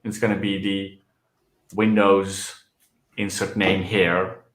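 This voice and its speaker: A man's voice: deep voice, flat delivery, slow pace, a bit bright, echoey room, low-quality recording. A man hosting a casual show on a video call, joking and laughing often. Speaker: podcast host.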